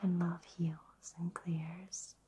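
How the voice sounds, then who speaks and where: A woman whispers very softly and breathily, in an even, slightly low tone. She guides a relaxation session in ASMR style, soothing and unhurried, leaving long pauses. A faint noise sits behind her voice. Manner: slow, soothing, gently reassuring, with long pauses. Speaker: ASMR Reiki practitioner guiding a session. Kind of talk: whispered ASMR personal attention.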